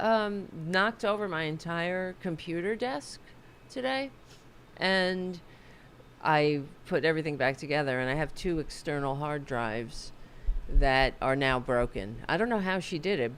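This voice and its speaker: A woman's voice: sits low, a little hiss or hum. A woman hosting a casual talk livestream from her home office, a bit under the weather and losing her voice, rambling and self-deprecating. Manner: rambling, chatty, self-deprecating, swears and corrects herself. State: losing her voice, a little sick. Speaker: host of a political talk livestream.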